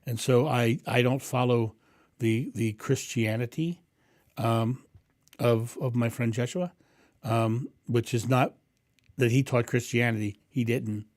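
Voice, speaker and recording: A man with a booming voice hosting a live stream, answering viewers' questions in a rambling, animated way and acting out thoughts. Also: takes it slowly, no room sound, clean studio sound. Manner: animated, acts out inner thoughts with raised voice; rambling with frequent ums and restarts. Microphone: condenser mic through a mixing board.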